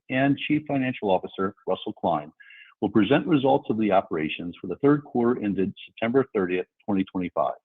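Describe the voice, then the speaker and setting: Lowish husky man on a phone line. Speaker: investor relations executive. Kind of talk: scripted formal disclaimer reading. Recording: tinny phone-like conference line.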